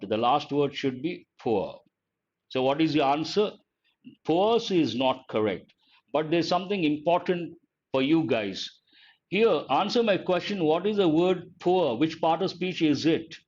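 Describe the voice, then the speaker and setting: An older man with a standard Indian English accent teaches grammar in a somewhat high voice, calling on students by name. He explains slowly and repeats each rule. The audio is dull and lo-fi. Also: animated, a little mellow. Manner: addresses students by name, asks and answers his own questions; slow, deliberate, repeats key points. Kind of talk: online grammar lesson.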